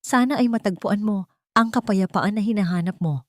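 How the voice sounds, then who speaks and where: Steadfast young Filipino mother, audiobook drama, read by a female narrator; gentle, forgiving, measured, dry sound. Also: medium pitch, no room sound, voice pushed up, lightly acted.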